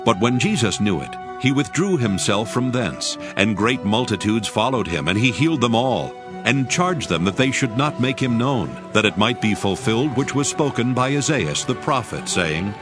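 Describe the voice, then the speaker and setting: A man serves as narrator of a scripture drama. His mid-pitched voice moves in lively contours through formal storytelling, with music clearly playing behind him. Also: moderate pace, hardly any pauses.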